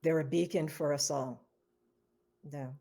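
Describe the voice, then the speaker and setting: A woman's voice: low-pitched, steady tone, measured pace, creaky, mellow. A woman hosting an online interview, affirming her guest with frequent fillers and repeated words. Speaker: host of foundation interview.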